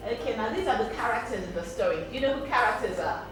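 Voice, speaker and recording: High, animated woman storyteller. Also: fast. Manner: explains words, asks questions. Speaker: children's storyteller and host. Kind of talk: expressive storytelling to children. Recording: echoey, distant mic, music behind.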